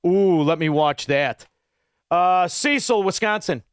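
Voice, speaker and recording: A man's voice: high voice, slow, clear, resonant tone, no room sound, clean studio sound. A man hosting a call-in radio show, ranting bluntly with insults and rambling asides. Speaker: radio talk show host. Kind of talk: combative call-in talk radio. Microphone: studio microphone.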